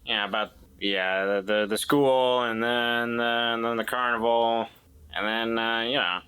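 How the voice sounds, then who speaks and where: A man's voice: medium pitch, steady tone, unhurried, sharp tone, smooth. A man playing a defensive, evasive and distrustful man in a tabletop drama, stammering and repeating himself as he explains. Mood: evasive, hesitant.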